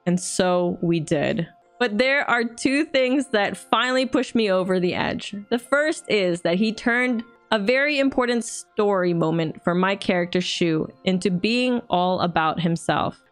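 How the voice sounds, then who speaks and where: Young woman streamer reading out a story, steady and earnest then chatty, bit of music low in the back. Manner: steady earnest reading, turning loose and chatty when reacting. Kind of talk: reading a viewer's story aloud, then reacting.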